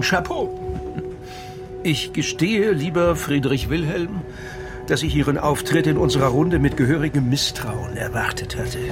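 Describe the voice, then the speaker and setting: Courteous higher-voiced male writer. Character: male crime writer, courteous, slightly formal game player. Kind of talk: radio play crime drama acting.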